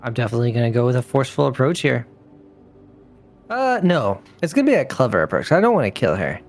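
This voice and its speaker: A male player talks through his moves in a tabletop game. His voice sits at an average pitch with a noticeable creak, and his delivery is informal and slangy. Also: huge pitch swings, quick pace, soft background music. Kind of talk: casual chat during a tabletop game.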